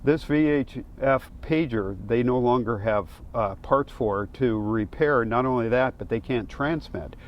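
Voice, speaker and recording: A man speaking as a fire official, explaining matters in a halting, matter-of-fact way during an interview. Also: mid-range voice, lo-fi sound, slight background noise.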